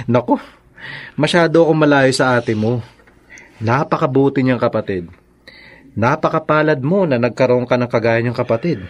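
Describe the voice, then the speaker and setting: A man plays a kindly Filipino doctor in a radio drama. He is reassuring and modest, speaking in a mid-pitched male voice. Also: normal speed, long pauses, lightly acted. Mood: warm, reassuring.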